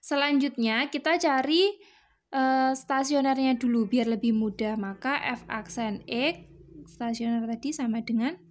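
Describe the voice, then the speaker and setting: A woman teaching a math lesson to students, explaining step by step. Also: a little high. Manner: patient, explains step by step with frequent "ya".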